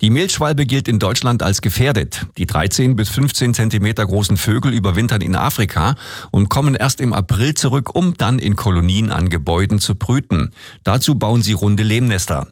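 Male news anchor, low-ish voice, measured formal read, dead-dry booth. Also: animated, breathy edge, dry recording. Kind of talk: scripted radio news reading. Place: radio studio. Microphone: studio microphone.

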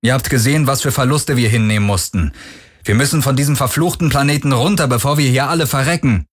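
Man acting rugged, commanding male boss, pitched up. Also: fast, slightly rough, close to an everyday voice. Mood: desperate, urgent. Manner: firm, commanding. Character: rugged, cunning male boss, combative and urgent.

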